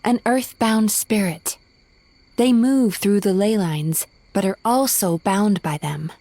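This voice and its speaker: A woman playing a calm, studious young healer in a video game, speaking gently and thoughtfully as she explains. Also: medium pitch, slow, dry recording. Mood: explanatory, serious. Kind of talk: video game cutscene acting.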